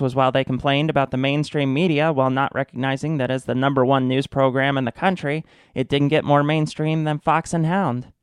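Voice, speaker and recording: A man's voice: mid-range voice, clear, resonant tone, dry recording, studio quality, lightly acted. A man playing a wry, mock-serious storyteller narrating a satirical radio drama.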